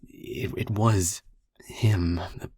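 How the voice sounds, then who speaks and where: A gruff, protective man played by a male actor in an audio drama. His voice is deep and very husky, full of breath, and he takes his time over each phrase in a close, dry sound. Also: fairly even intonation, a little mellow, no room sound, heavily acted. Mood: grave, measured. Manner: slow, deliberate, halting phrasing. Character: gruff, protective man calming a frightened friend. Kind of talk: audio roleplay acting.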